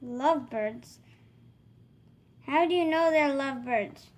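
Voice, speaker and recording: A woman's voice: sits high, slow pace, darkish tone. A woman voicing an anxious, exasperated elephant from a children's picture book, questioning everything in disbelief. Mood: incredulous. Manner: questioning, incredulous.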